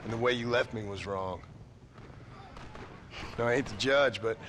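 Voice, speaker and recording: An actor playing a vengeful American gunslinger in a video game, speaking deliberately and with menace. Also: male voice, medium pitch, a bit bright, lightly acted. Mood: cold, bitter. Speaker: game protagonist. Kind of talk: dramatic video game acting. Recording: noisy outdoor game ambience.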